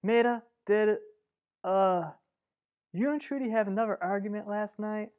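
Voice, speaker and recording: A woman's voice: mid-range voice, a little slow, a little mellow, natural voice. A woman playing a level-headed, exasperated, protective sibling in an old-fashioned radio soap opera, matter-of-fact and chiding. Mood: concerned.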